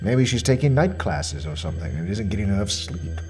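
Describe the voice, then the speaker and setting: An actor playing a fussy, self-important mystery shopper with quiet menace, delivering a precise, deliberate monologue in the form of a report. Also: male voice, lowish voice, wildly swooping pitch, faint music behind. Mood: self-justifying. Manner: pompous, precise, deliberate, dwelling on words. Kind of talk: dramatic monologue as a spoken questionnaire report.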